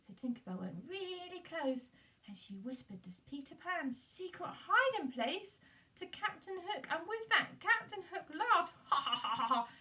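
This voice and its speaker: A woman puppeteer telling a story for children in an animated storytelling style. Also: extremely high pitch, a little slow, far from the mic.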